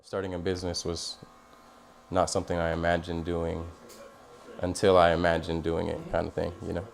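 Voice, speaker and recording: A man's voice: low-pitched, completely flat delivery, normal speed, gravelly. An American man in his thirties talking casually and in a laid-back way in a documentary interview. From San Diego, California, United States. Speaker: barbershop owner. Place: inside a busy barbershop. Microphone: lapel mic. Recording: background noise of busy barbershop.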